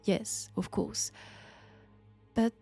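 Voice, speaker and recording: A woman with a French accent playing an enigmatic, ominous occult professor in an audio roleplay, speaking deliberately and in a hushed way. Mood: knowing, mysterious. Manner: slow, deliberate, hushed, ominous, with whispered phrases. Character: enigmatic female occult professor, possessed vessel of an ancient entity. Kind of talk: audio roleplay acting addressed to the listener. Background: faint music.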